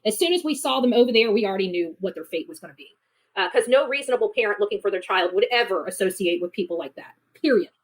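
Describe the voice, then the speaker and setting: A woman's voice: mid-range voice, lively intonation, fast, rough recording. A woman giving casual, opinionated online commentary in a blunt, indignant way, repeating words for emphasis. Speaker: online commentator on a missing-person case.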